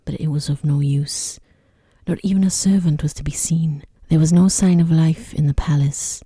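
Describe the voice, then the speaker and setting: A woman narrating a sleep story in a soft-spoken ASMR style, with a hushed, gentle, measured delivery close to the microphone. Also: low-pitched, steady tone, slightly rough, no echo.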